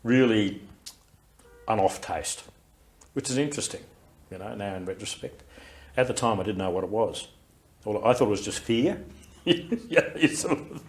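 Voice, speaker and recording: An older Australian man being interviewed, recalling his experiences in a slow, reflective way with frequent fillers and restarts. He has a loud, carrying voice. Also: fairly low pitch. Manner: reflective, unhurried recollection with many fillers and restarts. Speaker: interviewee, war veteran. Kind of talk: recorded oral history interview.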